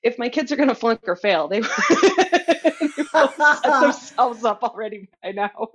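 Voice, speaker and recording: A woman in her forties, hoarse and congested with a cold, telling casual, jokey anecdotes as a podcast guest. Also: on the high side, measured pace. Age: 40s. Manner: giggly, jokey, animated. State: hoarse from a virus. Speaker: guest, romance author. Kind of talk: casual chat with self-mocking anecdotes.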